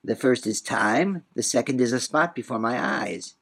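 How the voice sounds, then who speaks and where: A man's voice: mid-range voice, lively intonation, normal speed, vocal fry, a bit bright, breathy edge, full character voice. A man voicing a whimsical, boastful, riddling old man in a storybook reading, with proud, sing-song, muddled wordplay. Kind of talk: storybook character voice in audiobook reading.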